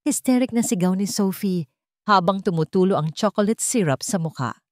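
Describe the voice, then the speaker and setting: A woman's voice: medium pitch, normal speed, dry recording, studio quality. A woman giving a scripted, dramatic narration of a romance story.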